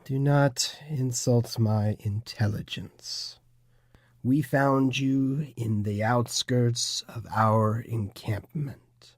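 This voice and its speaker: A man playing a cold, formal villainous interrogator, slow and deliberate in an audiobook drama. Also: mid-range voice, fairly even intonation, dry recording, studio quality. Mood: menacing. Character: menacing male Illuminati interrogator, formal and cold. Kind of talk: full-cast audiobook acting.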